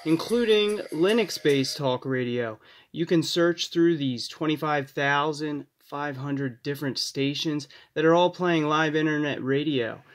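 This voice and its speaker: A man's voice: on the high side, unhurried. A man hosting a casual hands-on tech tips video, talking in an upbeat, recommending way and repeating his points. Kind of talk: casual hands-on app demonstration. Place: indoors at a desk.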